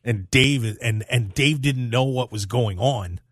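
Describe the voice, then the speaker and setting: An American man hosting a sports talk radio show, telling stories in an animated way with emphatic repetition. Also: medium pitch, a little slow, slightly rough, no echo, studio quality.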